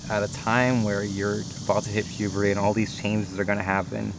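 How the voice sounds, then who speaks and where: A man's voice: on the low side, little pitch movement, a little fast, noisy background. A man telling his personal story earnestly and with feeling. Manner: earnest, emotional. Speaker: interviewee. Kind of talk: personal testimony. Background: music.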